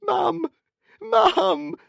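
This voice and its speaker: Casting: frightened boy under arrest in an audiobook crime drama, shrill, screaming, pleading. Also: extremely slow, vocal fry, fairly bright tone, dry recording, heavily acted. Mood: panicked, begging. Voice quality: high-pitched, screaming. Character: terrified boy suspect, screaming and begging.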